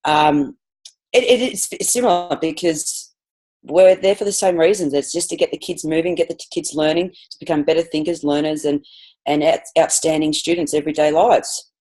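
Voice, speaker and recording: A woman's voice: sits low, fairly even intonation, moderate pace, a little mellow. An Australian woman, a physical education teacher, chatting as a guest on a podcast, enthusiastic and rambling with lots of restarts. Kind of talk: casual podcast interview.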